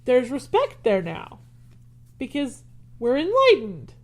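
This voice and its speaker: A woman's voice: high voice, wildly swooping pitch, slow. A woman co-hosting a podcast, sassy and outspoken in casual chat. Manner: sassy, outspoken, can get very loud. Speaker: podcast co-host.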